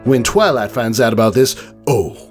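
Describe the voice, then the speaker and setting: A man voicing a feisty, protective female farm pony, blunt and folksy, quick to scold, in a storybook reading. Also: medium pitch, expressive, darkish tone, soft background music, voice pushed up, close to an everyday voice. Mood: angry.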